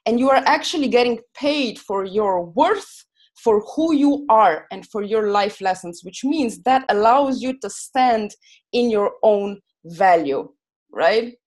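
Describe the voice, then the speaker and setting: A woman with a Slovene accent, speaking as a guest coach on a podcast, excited and full of fillers and restarts. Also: fairly high pitch, measured pace. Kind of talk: enthusiastic podcast interview.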